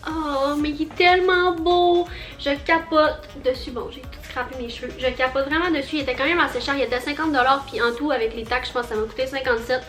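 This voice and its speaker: A woman's voice: high voice, fairly even intonation, brisk, a bit bright, roomy sound, soft background music. A young woman with a Quebec French accent, chatting through a casual haul vlog, bubbly and rambling with lots of fillers. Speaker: vlogger.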